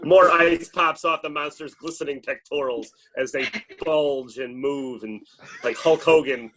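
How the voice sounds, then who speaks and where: A man's voice: a little high, unhurried, fairly bright tone, low-quality recording. A man reading action directions as narrator at an informal script table read.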